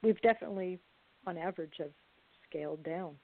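A woman taking part in a casual group discussion, talking in a measured, considered way. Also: on the low side, no echo. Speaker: radio play cast member. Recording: dry, thin phone-like call audio.

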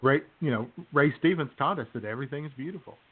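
A man's voice: on the high side, expressive, fast talker, husky. A man hosting a comedy podcast, chatting casually and jokily with his co-hosts in a bantering, mock-enthusiastic way. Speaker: host of a comedy radio podcast. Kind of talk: casual jokey chat with co-hosts. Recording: tinny phone-like band-limited sound.

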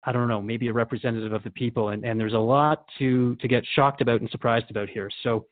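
A man's voice: medium pitch, steady tone, fast talker, narrow, tinny audio, natural voice. A man playing an earnest, questioning radio host who talks in a conversational, hesitant, reflective way in a radio drama interview.

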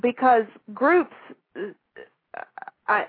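A woman's voice: on the high side, lively intonation, drawn-out words, slightly rough, no room sound. A middle-aged woman from Louisiana with a Southern accent, telling stories from her career as a guest, rambling and self-deprecating. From Shreveport, Louisiana. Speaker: author and guest. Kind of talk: phone interview, storytelling. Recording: tinny phone line.

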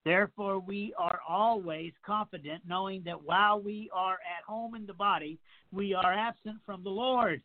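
A man in his sixties preaches with a fairly high voice, piling up repeated phrases and firing off rhetorical questions at his listeners. It sounds like a narrow, tinny phone line. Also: steady tone, normal speed. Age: 60s. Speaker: pastor. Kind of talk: radio sermon.

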